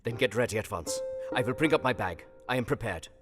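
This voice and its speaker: Audio drama casting: older doctor played by a man, kindly yet urgent, solemn broken English, highly animated delivery in a reverberant hall. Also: fairly quick, close to an everyday voice. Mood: urgent, grave. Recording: long hall reverb over music.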